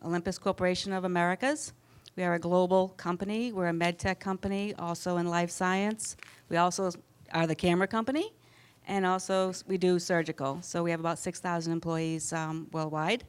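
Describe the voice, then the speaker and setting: A woman's voice: on the low side, fairly even intonation, a little fast, clear, resonant tone. A middle-aged woman introducing herself on a conference panel, heartfelt, with frequent fillers. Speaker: corporate panelist. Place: conference panel in front of an audience.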